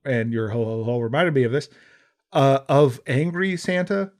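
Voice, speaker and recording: A man co-hosting a podcast, talking through a movie plot in a loose, casual way. His voice sits at an ordinary pitch. He gets animated, laughs often and acts out lines from the film. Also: moderate pace. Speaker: podcast co-host. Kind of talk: casual chat reviewing a movie.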